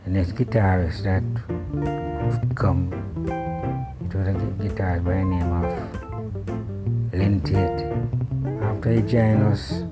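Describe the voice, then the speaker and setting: A man in an interview, telling his story haltingly with many restarts. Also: sits low, fairly even intonation. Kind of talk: reminiscing interview. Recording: loud music behind.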